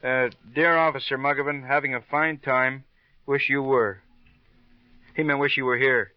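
A man's voice: medium pitch, fairly even intonation, normal speed, no room sound, natural voice. Male actor, plodding friendly male police officer, folksy, hesitant, 1950s radio drama, mid-range voice, muffled dry recording. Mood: chatty.

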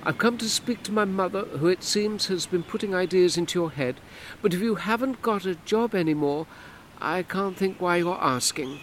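A man voicing a brisk, suspicious woman civil servant who answers curtly and defensively. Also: high voice, slight background noise, pitched above the performer's own voice, lightly acted. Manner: curt, defensive, clipped.

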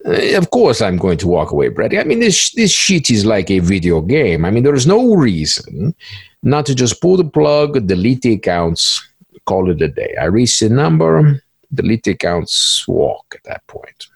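A man playing an easygoing, boastful male cybercriminal in an interview role-play, halting and repeating phrases in stilted formal wording. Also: lowish voice, big pitch swings, creaky, breathy edge, no room sound, studio quality, exaggerated voice. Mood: breezy, confident.

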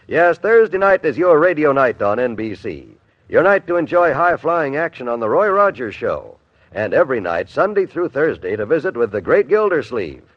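A man's voice: mid-range voice, no room sound. An American man reading a scripted 1950s radio network promo with polished, enthusiastic salesmanship. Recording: dull vintage radio recording.

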